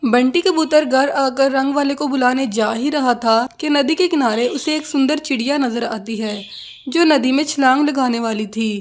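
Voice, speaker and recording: High-pitched woman narrating a cartoon story. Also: normal speed.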